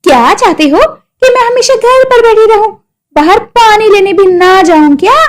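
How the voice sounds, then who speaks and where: A woman's voice: very high voice, animated, normal speed. A woman voicing a feisty young village wife in a cartoon story, whiny and argumentative. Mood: aggrieved. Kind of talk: Hindi cartoon story acting.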